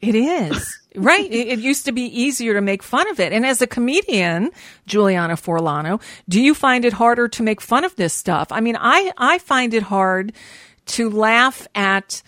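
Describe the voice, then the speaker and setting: Woman radio host, home setup, dry close sound, wry and halting delivery. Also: fairly high pitch, animated, a bit bright, no room sound. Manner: wry, halting, many restarts. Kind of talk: casual political chat. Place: home studio.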